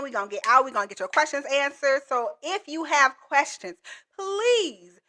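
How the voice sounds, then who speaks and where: High-energy, bright-voiced woman coach. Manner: high-energy, playful, chatty, addresses viewers directly. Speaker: government contracting coach. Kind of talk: energetic livestream lesson.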